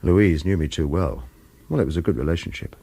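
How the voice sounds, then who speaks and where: A man's voice: low-pitched, brisk, creaky, warm tone, slightly rough, full character voice. An actor playing a wary hitman who narrates a radio drama and speaks protectively, with hesitant reassurance. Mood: brooding, watchful. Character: wary male hitman narrator, protective of his lover, evasive. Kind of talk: radio drama acting with first-person noir narration.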